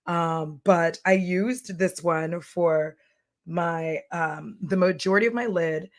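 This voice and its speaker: Hoarse, chatty woman streamer, low voice. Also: slow pace. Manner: chatty, candid, rambling with fillers. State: hoarse, losing her voice. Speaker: streamer and beauty commentator. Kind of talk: casual livestream chat.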